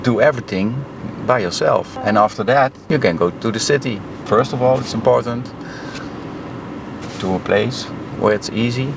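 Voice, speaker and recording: A man with a Dutch accent, a driving instructor speaking in a casual interview, halting and simple with lots of fillers. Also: medium pitch, vocal fry. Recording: noisy background hum.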